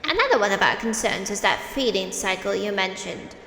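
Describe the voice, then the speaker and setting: A woman's voice: mid-range voice, lively intonation, a little fast, sharp tone. An actress playing an insistent, skeptical woman challenging officials in an audio drama, pointed and firm. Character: insistent, skeptical female challenger at press conference. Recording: echoey hall, background noise.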